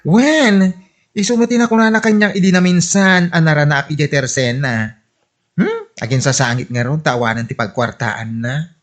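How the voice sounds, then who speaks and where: A woman's voice: sits low, normal speed, lightly acted. A woman playing a blunt, practical friend who scolds and nags in exclamations, in a comedy radio drama. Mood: gossipy.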